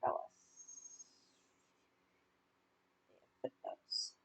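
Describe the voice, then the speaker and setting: A woman hosting a story podcast, reading folk tales aloud with informal asides and stumbling haltingly over unfamiliar words. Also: pushed and bright. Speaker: podcast host and story reader.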